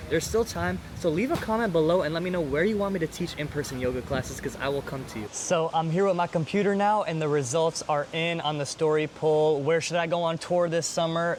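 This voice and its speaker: A man's voice: fairly high pitch, noisy background. An upbeat, chatty young man from New York, a vlogger and yoga teacher whose first language is Hebrew, talking casually to camera. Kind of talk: casual vlog talk to camera.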